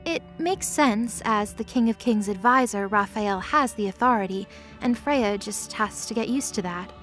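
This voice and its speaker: A woman's voice: mid-range voice, normal speed, fairly bright tone, soft background music. A woman reading scripted third-person narration for a fantasy audio drama.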